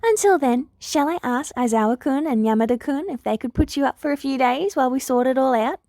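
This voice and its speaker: A woman's voice: high voice, lively intonation, a little fast, mellow, no echo, clean studio sound. A woman voicing a cheerful, curious male animal school principal, genial and musing, in a story reading.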